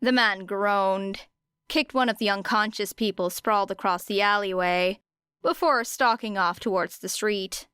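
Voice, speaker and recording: Female narrator for fiction audiobook, mid-pitched, crisp and smooth, dry close sound. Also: measured pace, fairly bright tone, dry recording. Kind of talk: scripted story narration.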